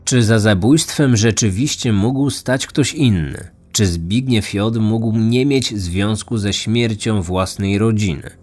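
A man's voice: fairly low pitch, slow, few pauses, darkish tone, husky. A man hosting a true-crime podcast, reading scripted narration.

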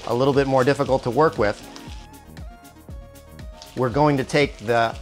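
A man in his forties hosts a cooking demonstration in a home kitchen, speaking on a lapel mic. His voice sits in a middle pitch, and he explains each step calmly with small restarts. Faint music and a sizzling wok run underneath. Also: normal speed. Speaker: cooking show host. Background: soft music and sizzling wok.

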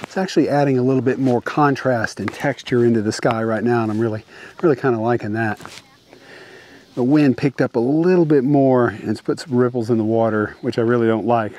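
A man's voice: mid-range voice, fairly quick, a little mellow, slight background noise. A middle-aged man vlogging landscape photography tips outdoors at dusk, talking casually with lots of fillers and restarts.

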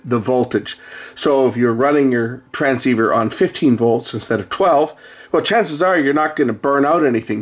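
A middle-aged man giving a casual, conversational hands-on repair commentary, explaining as he works at an indoor bench. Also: medium pitch. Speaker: repair video host. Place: indoor workbench. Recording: noisy room recording.

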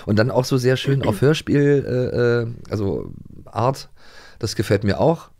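A middle-aged man co-hosting a podcast in casual chat, animated and fond of acting out voices when he tells stories. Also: medium pitch, measured pace, vocal fry. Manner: animated, acts out voices in anecdotes. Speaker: podcast co-host.

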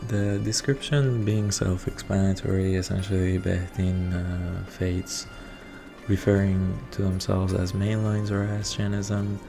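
A man whose first language is Persian, giving hesitant, filler-heavy commentary on a strategy game. His voice is deep and gravelly, slow and flat in pitch. Music plays clearly under his voice. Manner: hesitant, many ums and uhs. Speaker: strategy game video reviewer. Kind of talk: unscripted gameplay commentary. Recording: voice over clear background music. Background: background music.

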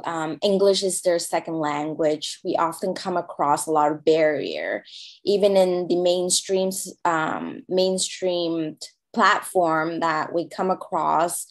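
A woman from Hong Kong with a Cantonese accent, speaking English as a second language as an interview guest, halting with many fillers and restarts. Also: fairly low pitch. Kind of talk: interview conversation.

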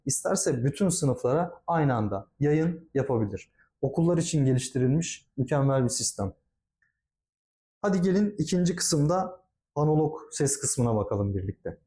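A man's voice: a little high, mellow. A man giving a product demonstration as a company presenter, speaking politely and formally, explaining things step by step.

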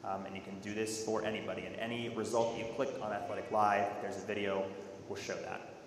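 Low-voiced man presenting in an echoey hall. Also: flat delivery, a little fast. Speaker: software presenter. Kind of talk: conference product presentation. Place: large dark conference auditorium, at a podium. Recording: echoey hall with faint noise.

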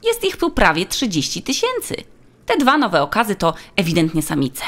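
A woman's voice: mid-range voice, huge pitch swings, moderate pace, a bit bright, voice pushed up, heavily acted. A woman voicing a helpful, polite female tour guide who explains things in a children's audiobook. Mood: informative.

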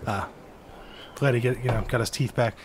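A man's voice: medium pitch, fairly even intonation, a little hiss or hum. A man co-hosting a podcast, chatting casually in an easygoing, joking way. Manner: easygoing, joking, quick agreeing replies. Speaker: podcast co-host. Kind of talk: casual chat.

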